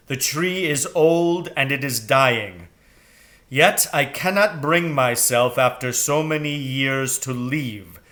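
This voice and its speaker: A man voicing an old, weary owl in a children's storybook, speaking gravely and deliberately, with a gloomy, portentous air. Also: mid-range voice, sharp tone. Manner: slow, deliberate, portentous delivery. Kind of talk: children's storybook read aloud.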